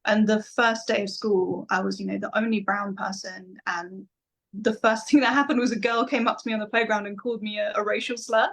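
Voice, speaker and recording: A thoughtful young woman from England, speaking as a guest in a relaxed interview. She talks in an unhurried, reflective way, often restarting her sentences. Her voice sits at an ordinary middle pitch. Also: vocal fry. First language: Farsi. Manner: reflective, with frequent fillers and restarts. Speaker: guest musician. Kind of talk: casual interview talk.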